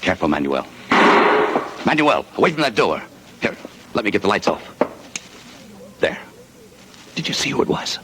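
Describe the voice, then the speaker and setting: Hard-boiled American adventurer. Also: male voice, fairly low pitch, big pitch swings, moderate pace, long pauses. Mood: tense. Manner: clipped, hard-boiled, wisecracking. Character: tough wisecracking American adventurer man. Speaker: narrator and lead. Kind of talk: 1940s radio drama acting. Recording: hissy 1940s radio broadcast.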